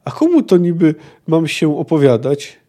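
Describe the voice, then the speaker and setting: A man's voice: a little high, very animated, normal speed, dark tone. Man reading as a pompous, boastful poet. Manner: haughty, declamatory. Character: pompous, boastful male poet, haughty, overconfident talker. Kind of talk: dramatic novel reading.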